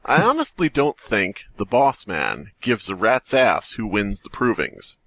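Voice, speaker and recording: A man's voice: fairly low pitch, wildly swooping pitch, unhurried, a bit bright, no echo. A man co-hosting a podcast, joking and riffing as he chats and reads a story aloud. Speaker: podcast co-host. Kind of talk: casual comic chat and story reading.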